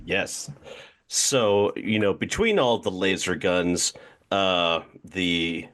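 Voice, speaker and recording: Deep voiced guy playing an rpg, casual and playful, kinda halting, thinking out loud. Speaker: tabletop game player. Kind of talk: casual improvised role-play chat.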